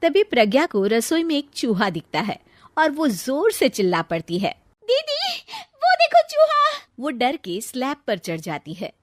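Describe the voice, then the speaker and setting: A woman's voice: on the high side, big pitch swings, moderate pace, no room sound. A woman reading scripted story narration as a storyteller.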